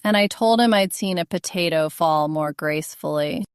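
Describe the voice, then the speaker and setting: A woman playing a proud, dry-witted country wife in an audio drama, speaking in a wry, deliberate way. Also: deep voice, clear, resonant tone, no room sound, close to an everyday voice. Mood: dry amusement.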